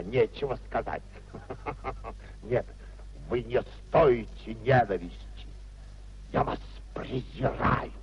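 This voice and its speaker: An actor playing a bitter, domineering middle-aged official with a gravelly voice and mocking, declamatory delivery in a 1950s radio drama. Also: male voice, mid-range voice, heavily acted. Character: bitter, contemptuous high-ranking official, domineering husband and uncle. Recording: dull, noisy 1950s radio recording.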